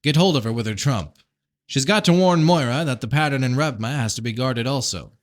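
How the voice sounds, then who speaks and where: A man's voice: medium pitch, brisk, close to an everyday voice. A man reading a novel aloud as a commanding fantasy prince, speaking in terse, insistent phrases. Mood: urgent. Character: urgent, commanding fantasy prince warning of catastrophe.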